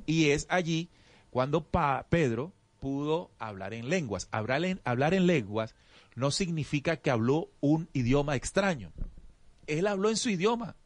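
A man's voice: fairly high pitch, animated, measured pace, no echo. A man teaching spiritual ideas in casual conversation, reflective and explanatory, often restarting his sentences. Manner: addresses the audience as "usted".

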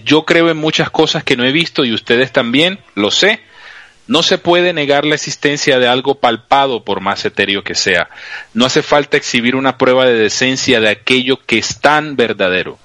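A man hosting a live radio talk show, speaking earnestly with pauses and small restarts. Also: on the low side, bright, forceful tone, dry recording. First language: Spanish.

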